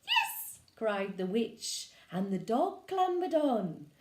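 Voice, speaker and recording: A woman reading a children's picture book aloud with animated, lively expression. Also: mid-range voice, slow pace. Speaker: storyteller. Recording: echoey room.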